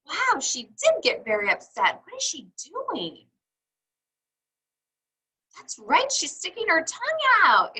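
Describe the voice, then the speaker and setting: A woman reading a picture book aloud to young children in a teacherly, expressive way, putting questions to her listeners. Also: a little high, husky, low-quality recording. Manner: teacherly, asks questions and answers them; exaggerated, expressive child-directed delivery.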